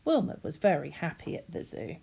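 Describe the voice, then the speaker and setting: A woman's voice: low voice, huge pitch swings, fairly quick, gravelly, mellow, breathy edge. A woman reading a children's story expressively, in the manner of a teacher speaking to young listeners.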